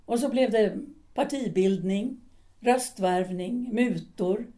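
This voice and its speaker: A woman acts out an embittered middle-aged wife and former actress in an audio drama. Her voice is mellow and dark, and her lines carry bitterness and despair. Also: medium pitch, natural voice. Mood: bitter. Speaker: actor.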